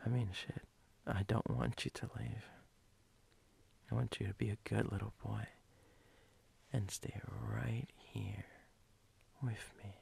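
A man playing a sleepy, teasing, doting boyfriend in an ASMR role-play, husky and breathy, often dropping to a whisper in an intimate, halting way. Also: on the low side, steady tone, darkish tone, exaggerated voice.

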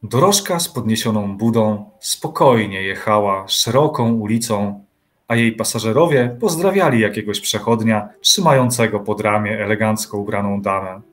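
A man's voice: lowish voice, moderate pace. A man reading scripted literary narration as the narrator of an audio drama. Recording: music behind the voice.

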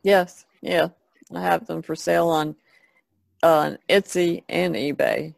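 Gravelly-voiced woman chatting casually. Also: fairly low pitch, steady tone, takes it slowly, no room sound. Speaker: artist guest. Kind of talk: casual podcast chat.

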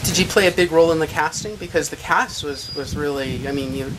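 A man's voice: a little high, brisk, over a noisy crowd, poor audio. A man interviewing an older filmmaker, asking short, attentive questions. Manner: brief, attentive questions and backchannels.

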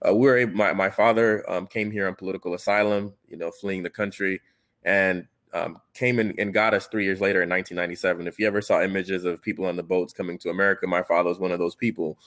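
A man's voice: low voice, steady tone, fast talker, a bit bright. A man from Haiti telling his story earnestly as a panelist on a video call, with many fillers and restarts.

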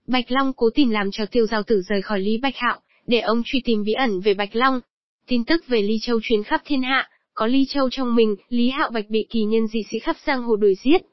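A woman's voice: on the high side, brisk, dry recording, dull audio. A woman reading a scripted promotional narration as a channel narrator. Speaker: channel narrator introducing an animated series.

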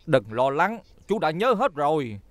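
A man voicing a kindly, excitable elderly grandfather shopkeeper in a children's cartoon dub, expressive and given to muttering. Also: fairly high pitch, a little slow. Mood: friendly, eager. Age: older. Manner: expressive, muttering, exclaiming to himself. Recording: music under the voice.

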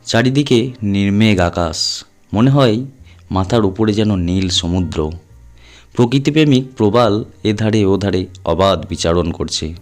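Low-voiced man narrating a suspense story. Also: a little slow, faint music behind. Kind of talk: scripted dramatic narration of a suspense tale.

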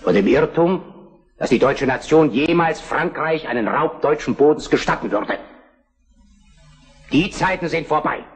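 An actor playing a proud, forceful Prussian count and statesman in a historical German radio drama, speaking formally and declaiming. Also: a little high, lively intonation, measured pace, long pauses, soft background music. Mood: firm, controlled. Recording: roomy, echoing drama recording.

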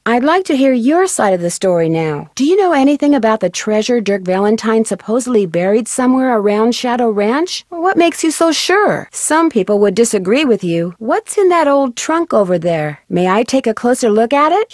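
A young American woman playing a curious, polite amateur detective in an adventure game, friendly and inquisitive with clear enunciation. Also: fairly high pitch, a little mellow, no echo, studio quality, close to an everyday voice. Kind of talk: scripted adventure game dialogue.

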